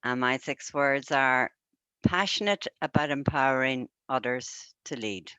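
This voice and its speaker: A woman from Ireland, introducing herself slowly on a video call. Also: a bass voice, pushed and bright, smooth, no echo. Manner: slow, laughing easily. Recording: dull lo-fi call audio.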